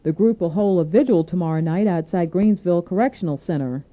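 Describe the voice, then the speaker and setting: A woman anchoring a scripted radio newscast, reading in a formal, measured way. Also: fairly low pitch. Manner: formal, measured newsreading delivery. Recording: narrow tinny AM radio aircheck.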